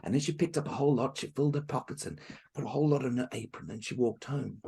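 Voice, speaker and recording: A man's voice: mid-range voice, fast talker, vocal fry, mellow, breathy edge. A man telling a folk tale aloud to a group, with chatty asides to his listeners. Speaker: storyteller.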